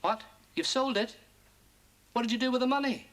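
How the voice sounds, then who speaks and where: A comedian man doing a stand-up routine as a pretend phone call to his mother, firing off quick one-liners. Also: very high-pitched, very noisy.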